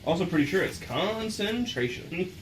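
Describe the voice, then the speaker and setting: A man's voice: sits high, lively intonation, normal speed, low-quality recording. A man chatting and joking casually as a player in a tabletop game. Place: in-person game session in a room. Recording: clear background room noise.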